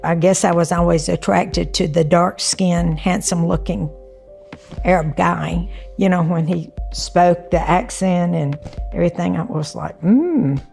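A woman's voice: on the low side, unhurried, vocal fry, a little mellow, soft background music. A woman in her sixties with a Southern US accent, telling stories in a chatty, down-to-earth way in an interview. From Alabama, US. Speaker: interviewee, mother. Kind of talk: interview recollection.

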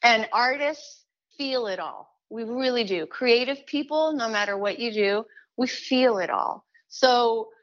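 A woman's voice: fairly high pitch, measured pace, a bit bright, muffled recording. A woman from Chile and the United States giving earnest, emphatic, conversational interview answers as a musician, often repeating her phrases. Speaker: musician, singer-songwriter and teaching artist.

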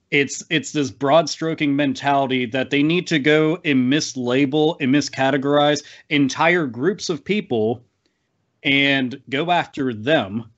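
A man's voice: medium pitch, dull audio. A man on a podcast panel giving an emphatic, wordy, opinionated rant full of swearing. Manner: emphatic, wordy, piles up clauses, swears freely.

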